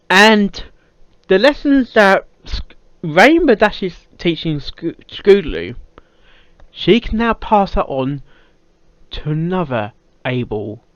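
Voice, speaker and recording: High-voiced, animated British man reacting. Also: dry recording. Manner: halting, repeats words and phrases. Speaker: reaction video host. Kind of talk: casual off-the-cuff reaction commentary. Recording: dull lo-fi microphone sound.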